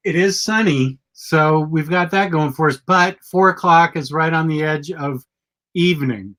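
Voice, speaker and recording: A middle-aged man hosts a live show while sick, his voice gone gravelly. He sits fairly high in pitch for a man and rambles through casual chat, correcting himself as he goes. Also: measured pace, a bit bright. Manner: rambling, self-correcting, chatty. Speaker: podcast host.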